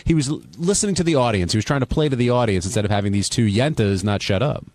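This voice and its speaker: A man's voice: mid-range voice, animated, fast, darkish tone. A man hosting a radio talk show, casually putting questions to his panel and callers. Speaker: host of a radio wrap-up show. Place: radio studio.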